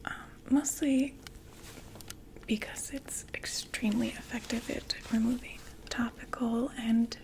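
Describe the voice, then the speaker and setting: A woman playing a polite vampire makeup saleswoman in an ASMR roleplay, whispering softly with gentle, attentive, explanatory patter. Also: a little high, fairly even intonation, a little slow. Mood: helpful, soothing. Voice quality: soft breathy whisper.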